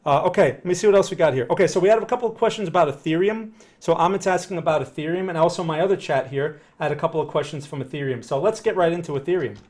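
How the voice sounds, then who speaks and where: A man in his thirties with a New Jersey accent, speaking at a slightly higher pitch than most men. He is hosting a live webcam stream from a small room, walking through market charts and reading questions from the chat. His delivery is casual and conversational, with frequent "right?" check-ins. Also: racing, darkish tone. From northern New Jersey, USA. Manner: conversational, often ending with a tag "right?". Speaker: market analyst livestream host. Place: small room in front of a blue backdrop.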